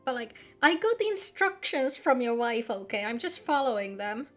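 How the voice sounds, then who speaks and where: A woman's voice: a little high, very animated, normal speed, soft background music. A woman live-streaming a game, chatting casually and teasingly with viewers in sweary, informal talk.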